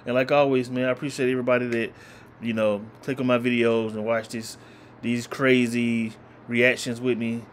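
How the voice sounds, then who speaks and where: A man's voice: medium pitch, normal speed, a little hiss or hum. Laid-back young man chatting into a webcam. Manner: relaxed, rambling, uses slang. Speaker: trailer reaction host. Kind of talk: casual chat. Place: small room indoors.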